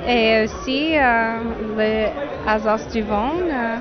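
A young American woman, a wine student, speaks French slowly with an American accent, often pausing to find words. She stands outdoors at a festival, and the sound is a bit dull over a busy crowd. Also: a little high, fairly even intonation. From United States. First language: English. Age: young adult. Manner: halting French, many hesitations.

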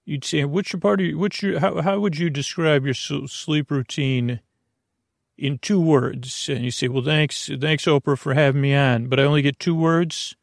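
A man's voice: medium pitch, creaky, no echo, clean studio sound. A man hosting a bedtime podcast in a whimsical, meandering monologue, often hesitating and breaking off mid-thought. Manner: meandering, hesitant, self-interrupting; whimsical, silly. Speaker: podcast host.

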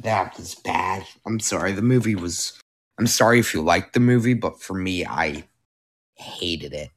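Slow-talking young man, losing his voice. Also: deep voice. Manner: rambling, self-correcting, with filler words. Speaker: podcast host. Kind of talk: casual solo podcast chat. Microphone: new microphone being tested.

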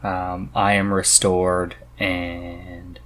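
A man hosting a podcast, chatting casually and haltingly as he thinks aloud. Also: low-pitched, completely flat delivery, a bit bright, a little hiss or hum. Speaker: podcast host. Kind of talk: casual conversation about reading.

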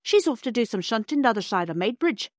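A woman's voice: fairly high pitch, sing-song, fairly quick, dry recording, clean studio sound. Woman doing a rustic old railway porter. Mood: chatty. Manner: broad rustic dialect. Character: cheerful working-class old railway porter.

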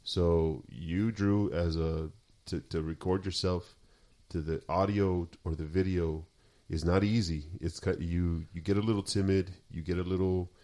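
Low-voiced guy from sinaloa, loud chatty podcast cohost, laughs a lot. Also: measured pace, hardly any pauses. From Mexico (Sinaloa). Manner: loud, animated, laughs often. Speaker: podcast co-host. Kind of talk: casual chat.

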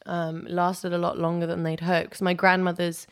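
Smooth-voiced young Englishwoman, rambling. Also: on the low side, completely flat delivery, moderate pace. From England, London-born, raised partly in Kosovo. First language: Albanian. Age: 20s. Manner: rambling, hesitant, heavy on "like" and "you know". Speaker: guest. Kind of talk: casual chat.

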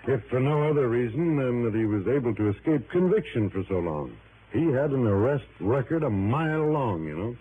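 An actor playing a cooperative, talkative male assistant warden in a vintage radio drama, earnest and deliberate. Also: medium pitch, sing-song, lightly acted. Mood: earnest, emphatic. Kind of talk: 1950s-60s radio drama acting. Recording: noisy, tinny vintage radio recording.

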